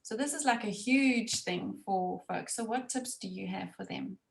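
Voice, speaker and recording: A woman interviewing a family for a homeschool program, asking questions and affirming their answers warmly. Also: medium pitch, normal speed, creaky, roomy sound. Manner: warm, affirming, laughs often.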